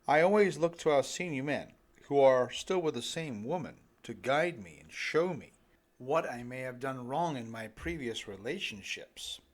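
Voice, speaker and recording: A man's voice: mid-range voice, expressive, normal speed. A middle-aged man narrating his own self-help audiobook with light tongue-in-cheek humour, speaking straight to his listeners. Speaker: author reading own audiobook.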